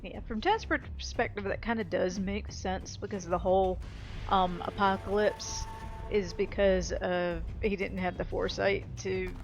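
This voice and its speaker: A woman's voice: lowish voice, highs cut off. A woman giving casual gameplay commentary, chatty and explanatory, often hedging her thoughts.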